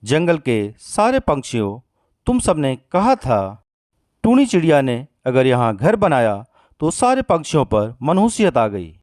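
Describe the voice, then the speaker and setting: A man voicing a kind male crow in a cartoon story, speaking softly and earnestly. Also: on the high side, sing-song, a little slow, dry recording, studio quality. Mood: reproachful. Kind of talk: Hindi cartoon story voice acting.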